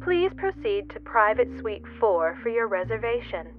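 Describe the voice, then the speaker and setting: A woman plays an automated restaurant announcer in an audio drama. The voice is thin and phone-like, with faint music behind it. It is formal, courteous and measured. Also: fairly high pitch, sing-song. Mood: polite, neutral. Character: polite automated female restaurant announcement system. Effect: phone or intercom filter. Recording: tinny, phone-like band-limited sound.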